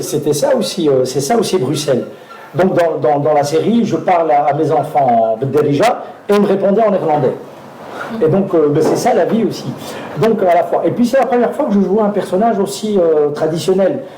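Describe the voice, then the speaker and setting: A man's voice: fairly high pitch, dark tone. Male actor guest, hesitant anecdotal delivery, echoey live venue with faint noise. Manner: hesitant, many fillers and restarts, laughs while telling anecdotes. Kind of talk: live talk on stage, storytelling.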